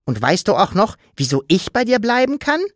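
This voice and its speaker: A man's voice: high-pitched, big pitch swings, moderate pace, no echo, clean studio sound, voice pushed up. A man voicing a playful, mischievous childlike creature in an audiobook, with a bouncy, teasing way of talking. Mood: cheeky. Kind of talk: audiobook character voice.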